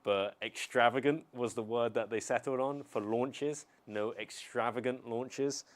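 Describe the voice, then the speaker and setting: A young man from the United Kingdom chatting casually on a live stream, answering chat in a relaxed, rambling way with many pauses and restarts. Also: mid-range voice.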